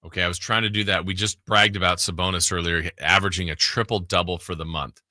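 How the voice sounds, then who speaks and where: An American man hosting a sports radio show in casual banter, animatedly thinking out loud as he goes. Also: low voice, steady tone, fast talker, no echo, clean studio sound.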